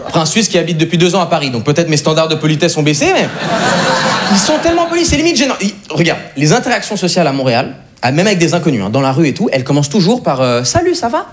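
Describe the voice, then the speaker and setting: A young man from Switzerland telling self-mocking stories as an animated, conversational stand-up comedian on a live stage. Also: on the high side, racing. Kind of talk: stand-up storytelling to a live audience.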